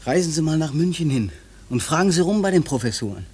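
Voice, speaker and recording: A man's voice: on the high side, animated, fast, a little mellow, a little hiss or hum, close to an everyday voice. An actor playing a defiant, boastful young male painter, lovesick and touchy, in a German radio play. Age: young adult. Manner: braggart, insistent, volatile.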